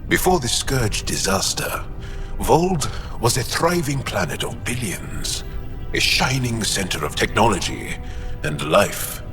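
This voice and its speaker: An actor playing a solemn, intense alien resistance fighter in a video game, speaking in a measured, deliberate, dramatic way. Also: male voice, mid-range voice, expressive, music in the background. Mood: grave. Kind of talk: scripted video game acting.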